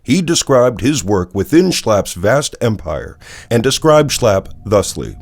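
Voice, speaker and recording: An actor playing a serious, polished television newsmagazine anchor, narrating with measured, dramatic emphasis. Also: male voice, fairly low pitch, sing-song, creaky, heavily acted. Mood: grave, measured. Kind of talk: scripted broadcast news narration.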